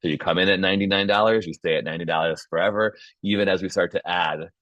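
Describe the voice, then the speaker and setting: A man's voice: sits low, steady tone, fast talker, a bit bright, no room sound, dull audio. A man casually hosting an online video meeting, informal and chatty with a promotional bent. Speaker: host and founder of a film community.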